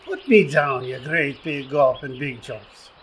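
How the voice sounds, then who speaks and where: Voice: medium pitch, a little slow, slight background noise, natural voice. An actor in a radio play voicing a grumpy, suspicious little leprechaun with an Irish accent, blustering and insulting. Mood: indignant.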